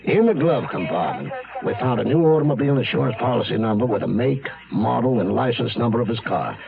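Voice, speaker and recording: An actor playing a brisk, grim county sheriff in a radio drama. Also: male voice, medium pitch, lively intonation, vocal fry, phone-quality sound, crowd noise. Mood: grim, emphatic. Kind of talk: 1970s radio drama acting.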